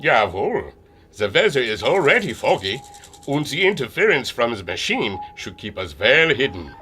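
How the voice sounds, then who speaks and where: A stern German airship captain with a German accent and formal, clipped delivery. His voice is bright and sharp and leaps widely in pitch. A faint hiss runs behind him. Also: sing-song, normal speed. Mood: commanding. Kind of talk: audio drama acting.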